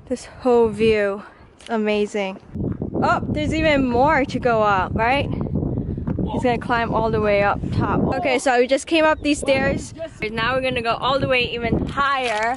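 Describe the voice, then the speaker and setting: Excitable young woman vlogging outdoors. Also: a little high, heavy background noise. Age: young adult. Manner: excitable, slangy, exclaiming often. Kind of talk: casual vlog narration. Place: outdoors on a hilltop abandoned tower. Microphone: handheld camera at arm's length.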